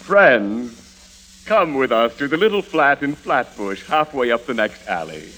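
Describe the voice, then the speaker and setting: An actor as a formal, grand announcer narrating a 1940s radio comedy serial. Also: male voice, mid-range voice, very animated, a little slow, fairly bright tone, rough recording. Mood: mock earnest. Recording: old hissy radio recording.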